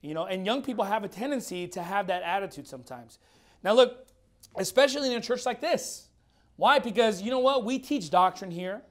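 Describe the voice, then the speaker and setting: A man's voice: sits high, lively intonation, a little fast. A man in his thirties preaching a fiery, blunt sermon in a church while losing his voice. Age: 30s. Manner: emphatic, blunt, repeats words. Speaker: pastor.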